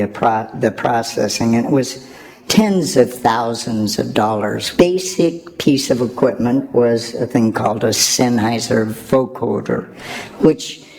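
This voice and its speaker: A man speaking as a sound engineer on a panel, explaining technical work in a measured, halting way. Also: medium pitch, a little mellow, poor audio.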